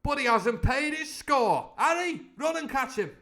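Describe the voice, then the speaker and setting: A man voicing a loud, domineering pirate landlord from a storybook, blustering in sailor's talk. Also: expressive, normal speed. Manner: booming, blustering, sailor's speech.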